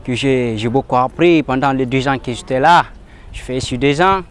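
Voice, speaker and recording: Clear-voiced Ivorian football trainee. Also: male voice, medium pitch, normal speed. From Ivory Coast. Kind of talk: documentary interview.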